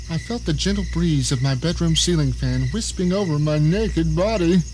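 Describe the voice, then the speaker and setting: Male actor as blind middle-aged prisoner in his own home, arrogant and suspicious; high voice, theatrical first-person suspense drama, noisy backdrop. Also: darkish tone. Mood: uneasy, frightened.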